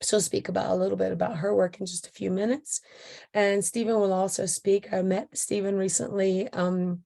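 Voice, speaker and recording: A woman hosting a casual video interview, her voice a little hoarse as it recovers. She talks in a halting, friendly way, with frequent ums and restarts between her thoughts. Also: medium pitch, fairly even intonation, dark tone. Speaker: interviewer, photo book consultant.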